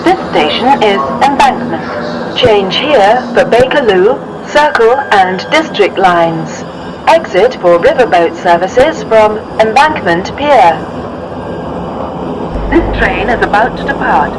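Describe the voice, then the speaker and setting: A woman voicing a calm automated railway station announcer, measured and formal, in an audio drama. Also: mid-range voice. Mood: calm, neutral. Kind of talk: scripted public announcement. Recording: loud-ish train and station noise.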